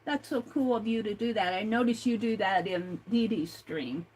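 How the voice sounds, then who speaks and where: An older woman hosting an art livestream, chatting slowly and reading out viewer comments, with many fillers and restarts. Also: medium pitch, a little mellow, husky, rough recording. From United States.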